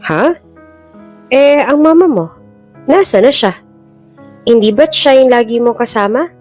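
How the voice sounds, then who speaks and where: Babaeng gumaganap na inquisitive Filipino hospital nurse. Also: female voice, fairly high pitch, animated, a little slow, a little mellow, voice pushed up. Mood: curious. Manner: chatty, questioning. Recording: music bed behind the voice.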